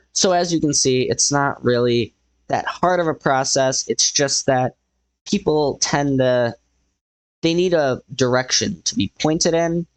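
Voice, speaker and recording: Slightly hoarse man narrating a building tutorial. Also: medium pitch, dry recording, low-quality recording. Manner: relaxed, thinking aloud while building. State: losing his voice. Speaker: tutorial host. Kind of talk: casual game building tutorial.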